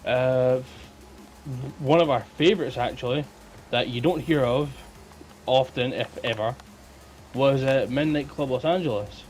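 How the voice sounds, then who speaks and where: A man's voice: medium pitch, unhurried, pauses often, a little mellow, soft background music, rough recording. A man with a Scottish accent telling casual anecdotes as a guest on a podcast.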